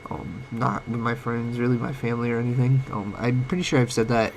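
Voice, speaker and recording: Young man, sick with a cracking voice, halting and rambling, faint hiss behind, uneven mic volume. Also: mid-range voice, steady tone, gravelly, rough recording. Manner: rambling, halting, many fillers. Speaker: vlogger. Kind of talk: late-night personal talk. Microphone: home microphone, uneven volume.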